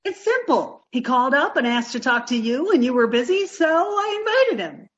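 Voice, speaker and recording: A woman's voice: sits high, sing-song, normal speed, slightly rough, lo-fi sound, exaggerated voice. A woman playing a sweet, dim-witted young roommate in an old-time radio sitcom, naive and literal-minded. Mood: cheerfully oblivious.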